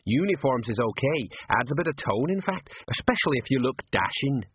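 A man's voice: medium pitch, big pitch swings, a little fast, no echo, voice pushed up. Man doing a rambling old sergeant. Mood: scheming. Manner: rambling, folksy working-class talk. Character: aging, portly, worried watch sergeant, man. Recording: dry husky phone-like audio.

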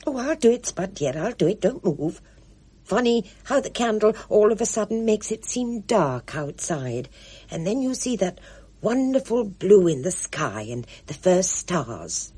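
A woman voicing a cheerful, excitable little older woman who chatters merrily in a high, fluttery voice. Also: measured pace, breathy edge. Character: chirpy little red-faced older woman.

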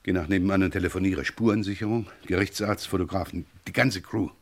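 An actor playing a brisk, sceptical chief inspector in a German radio crime drama, dryly gruff and commanding. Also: male voice, deep voice, steady tone, no echo.

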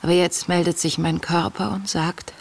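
An actress playing an intense, devout young woman diarist in a radio play, speaking in a hushed, introspective, tender and grieving way. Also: lowish voice, flat delivery, moderate pace, gravelly. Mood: weary, reflective. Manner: hushed, introspective, often near a whisper. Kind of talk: intimate radio play monologue, diary reading.

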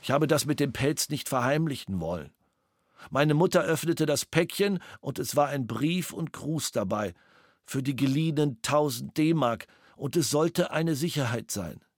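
An actor reading the witness statement of a former soldier and gunsmith, sober and matter-of-fact. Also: male voice, a little high, animated, moderate pace, no echo, clean studio sound. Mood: flat, factual. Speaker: reader of interrogation protocols.

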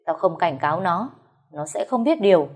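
Woman narrator doing a brash bully brother, loud, taunting, low pitch. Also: animated, moderate pace, breathy edge. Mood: defensive. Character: brash drunk older brother, bullying man.

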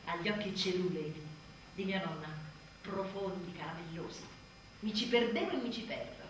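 A woman's voice: lowish voice, normal speed, natural voice. An actress playing an exhausted, embittered young mother in a theatrical radio monologue, sardonic and volatile. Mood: tender. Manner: volatile, sardonic, swearing, swinging from outbursts to murmurs. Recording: roomy echoing stage, distant mic, faint noise.

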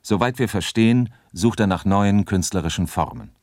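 A man playing a formal telex reader in a radio documentary drama, measured and official. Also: fairly low pitch, darkish tone, dry recording, studio quality, natural voice. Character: formal male news agency telex reader. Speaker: narrator of explanatory interludes.

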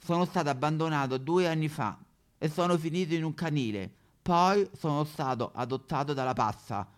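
A man's voice: a little high, moderate pace, lightly acted. A man voicing a bitter, fearful mongrel dog in a satirical stage monologue, grumbling in colloquial Roman-accented Italian. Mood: bitter, resigned. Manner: grumbling, colloquial, exaggerated complaining.

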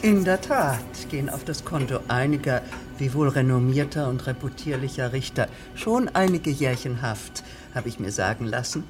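An actress in a radio drama playing a deferential, officious village bureaucrat who speaks in wordy, winding formal sentences. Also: female voice, a bass voice, animated, moderate pace, music in the background. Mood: apologetic, formal. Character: fussy, long-winded female village official, deferential bureaucrat.

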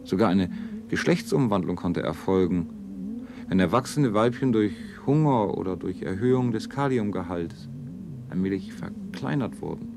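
An actor playing a detached scientist observing a colony, lecturing in a clinical way in a radio play. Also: male voice, sits low, expressive, moderate pace, creaky, background noise. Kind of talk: 1970s German radio play acting.

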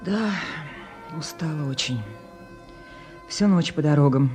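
An actress playing a weary, anxious woman crop breeder in a radio play, earnest and hesitant, with a husky, breathy voice. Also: deep voice, expressive, takes it slowly, mellow, soft background music. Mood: exhausted. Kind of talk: Soviet radio play acting.

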